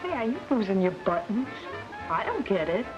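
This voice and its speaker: A woman's voice: medium pitch, very animated, normal speed, dull audio, over music, lightly acted. An actress playing a plain-talking, curious American girl in a 1940s film.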